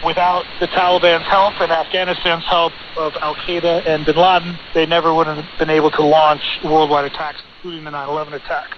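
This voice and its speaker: Male American lawyer as interview guest, fairly high voice, emphatic and argumentative, muffled radio sound. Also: little pitch movement, brisk, hardly any pauses. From United States. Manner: assertive, emphatic, insistent. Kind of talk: broadcast interview answers, argumentative. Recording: noisy, dull shortwave radio relay.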